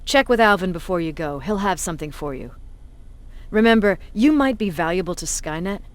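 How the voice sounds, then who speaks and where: An actress playing a blunt, authoritative resistance commander in a video game, tough and sardonic. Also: female voice, fairly low pitch, lively intonation, brisk, no room sound, close to an everyday voice. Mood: stern, commanding.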